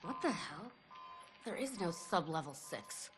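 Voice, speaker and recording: A woman's voice: on the low side, sing-song, measured pace. An actress playing a shaken, fiery woman fighting zombies, emotional and intense, in a game cutscene. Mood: suspicious, uneasy. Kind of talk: cinematic game cutscene acting. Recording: voice under music.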